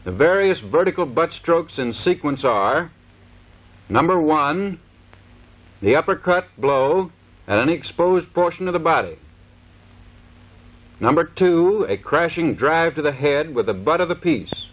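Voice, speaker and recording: A man's voice: fairly high pitch, lively intonation, unhurried, long pauses, fairly bright tone. An American man giving formal, clipped, scripted instructional narration in the manner of a 1930s military training film. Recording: dull vintage 1930s film soundtrack.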